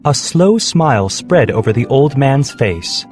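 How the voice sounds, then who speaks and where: A man's voice: medium pitch, lively intonation, unhurried, a little mellow, faint music behind. A man narrating a scripted story for children.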